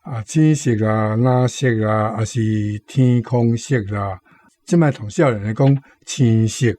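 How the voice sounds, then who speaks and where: A man with a Taiwanese accent explaining vocabulary like a language teacher, deliberately, word by word. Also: medium pitch, warm tone, no echo. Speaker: language commentator.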